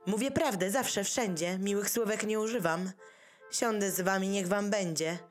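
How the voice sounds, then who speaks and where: A woman's voice: mid-range voice, moderate pace, lightly acted. A woman playing blunt, picky pet dogs in a rhymed radio play, with a sardonic manner. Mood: grumbling, picky.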